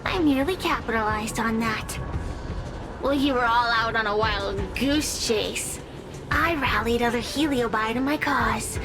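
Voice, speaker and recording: A woman's voice: on the high side, natural voice. A woman voicing a scheming, gloating female spirit villain in a video game, with a haughty, theatrical delivery. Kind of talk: scripted video game voice acting. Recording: voice under prominent music.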